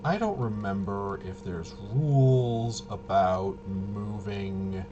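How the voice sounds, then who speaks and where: Slow, low-voiced man muttering through a game. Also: muffled recording, music in the background. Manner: hesitant, muttering to himself while checking rules. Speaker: solo tabletop RPG player demonstrating gameplay. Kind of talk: casual thinking-aloud gameplay narration.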